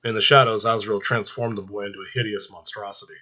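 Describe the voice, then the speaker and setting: Husky, low-voiced male storyteller. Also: steady tone, fast, sharp tone, highs cut off. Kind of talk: dramatic scripted story narration.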